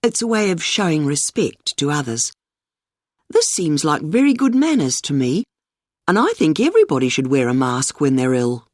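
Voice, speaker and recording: A woman with a New Zealand accent, telling a short scripted story in a clear, calm way for a coursebook recording. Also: deep voice, moderate pace, no echo. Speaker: speaker in a coursebook listening. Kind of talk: scripted monologue. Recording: dry studio recording.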